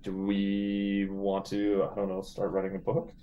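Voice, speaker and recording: A man's voice: low voice, completely flat delivery, a little slow, muffled recording, faint music behind. A middle-aged man playing a tabletop role-playing game, chatting casually and thinking through ideas in a playful way.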